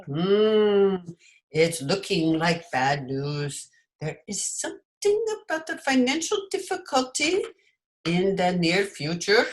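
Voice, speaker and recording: A woman playing a foreign piano teacher and fortune teller in old-time radio comedy, deliberate and blunt, with non-native phrasing. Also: medium pitch, sing-song. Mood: matter-of-fact. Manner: foreign-English syntax, deliberate speech.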